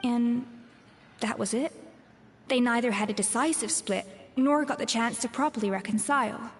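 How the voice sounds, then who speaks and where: A woman's voice: fairly high pitch, a little hiss or hum, lightly acted. An actress voicing a proud, troubled young female chef in a video game, reflective and articulate. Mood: somber, reflective. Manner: measured, articulate, pensive storytelling. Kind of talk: scripted video game voice acting. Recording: long reverb, music behind. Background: game music.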